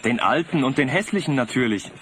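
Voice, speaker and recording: A man plays a vain, naive young fellow with a very animated, mid-pitched voice. He speaks earnestly and with swooping intonation in radio play style, over faint tape noise. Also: brisk, lightly acted. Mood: earnest, self-assured. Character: naive, vain young male sled driver, devoted citizen. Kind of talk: German audio drama acting. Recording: slightly noisy cassette audio drama.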